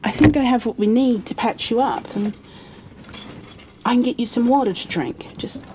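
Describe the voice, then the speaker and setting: A woman playing a kind, flustered young bookkeeper in a fantasy ASMR roleplay, speaking softly and breathily, often near a whisper, with gentle hesitations and apologies. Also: on the high side, moderate pace, a little hiss or hum, close to an everyday voice. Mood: worried, caring. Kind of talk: ASMR roleplay, addressed to the listener.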